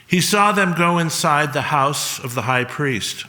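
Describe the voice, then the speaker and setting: A man reading a solemn scripted story in a measured way, with pauses. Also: on the high side, expressive, pushed and bright. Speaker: reader at a church service. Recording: long hall reverb.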